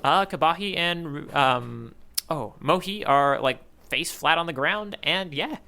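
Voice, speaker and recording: A man's voice: a little high, lively intonation, a little slow, creaky, pushed and bright. A man chatting casually and enthusiastically as a podcast co-host, recapping a story. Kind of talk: casual chat recapping a manga chapter.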